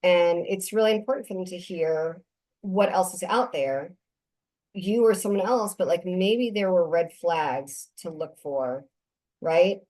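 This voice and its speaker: A woman hosting a podcast, chatting in a relaxed, affirming way with her guest. Her voice sits fairly low for a woman. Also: a little slow, low-quality recording. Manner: conversational, affirming. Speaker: podcast host. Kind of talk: casual podcast interview.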